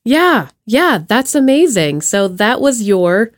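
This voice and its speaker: A woman hosting a podcast in casual chat, with a gushing, expressive manner. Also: a little high, takes it slowly, dry recording, studio quality. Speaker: podcast host.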